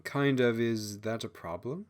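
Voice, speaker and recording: A man's voice: medium pitch, a little slow, natural voice. A man voicing a proud, imperious female fox spirit in an audiobook fantasy story. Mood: confused.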